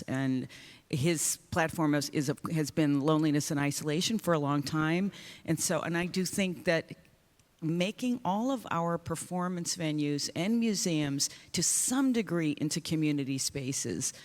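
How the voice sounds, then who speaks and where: Woman panelist in a reverberant hall. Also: low voice. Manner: earnest, searching, with restarts. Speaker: performing singer and panelist. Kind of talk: panel discussion. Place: conference hall stage. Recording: long reverb of a large hall.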